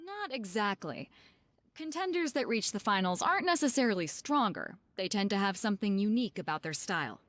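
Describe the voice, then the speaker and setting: A woman's voice: medium pitch, very animated, fairly quick, a bit bright, dry recording. An actress playing a worldly, self-assured woman sea captain in a video game, with a casual, teasing manner. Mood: confident, teasing. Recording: music behind.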